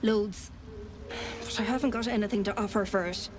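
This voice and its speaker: A woman's voice: medium pitch, steady tone, moderate pace, noisy background, close to an everyday voice. A woman playing a weary, hard-bitten trader in a video game, speaking bluntly and plainly. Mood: blunt, guarded.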